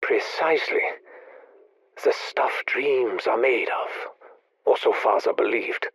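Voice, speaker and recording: An actor playing a secretive, obsessive scientist, formal and measured, muttering a research log in a video game. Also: male voice, medium pitch, a bit bright, breathy edge, no room sound, heavily acted. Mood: brooding. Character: brooding, secretive, obsessive scientist recording private research logs.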